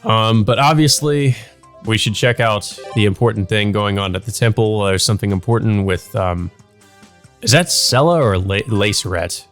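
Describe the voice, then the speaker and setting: Low-voiced man doing a let's play. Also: normal speed, fairly bright tone, faint music behind. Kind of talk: reading visual novel aloud with casual commentary.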